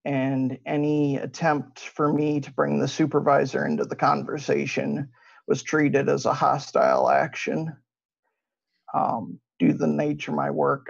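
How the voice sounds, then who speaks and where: Midwestern man, debater, very slow and hesitant delivery with strong vocal fry, dull lo-fi mic. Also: mid-range voice. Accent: Midwestern American. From Ohio, USA. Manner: hesitant, many fillers and restarts. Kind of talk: debate opening statement.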